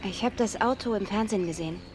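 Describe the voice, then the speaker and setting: A woman's voice: medium pitch, quick pace, a little hiss or hum, natural voice, rough recording. An actress playing a teasing, bold young woman in a radio drama, coaxing and playful.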